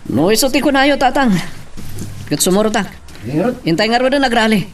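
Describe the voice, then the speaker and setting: Man acting a defiant high-pitched protester, slightly distant. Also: lively intonation, fairly quick. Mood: hesitant, agreeing. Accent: Ilocano Filipino. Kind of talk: Ilocano radio drama acting. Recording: distant mic, faint background noise.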